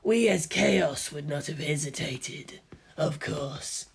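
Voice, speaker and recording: A man voicing a sly, duplicitous evil spirit in an audiobook, hushed, deliberate and insinuating. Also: medium pitch, creaky, slightly rough, exaggerated voice.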